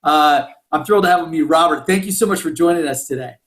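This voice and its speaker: Male podcast host, high pitch, upbeat and casual interview delivery. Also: quick pace, fairly bright tone.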